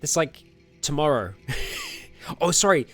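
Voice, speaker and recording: A man's voice: a little high, animated, unhurried, faint music behind. A man hosting a casual livestream and answering viewer comments, rambling a little with fillers, self-corrections and frequent laughs. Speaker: streamer host.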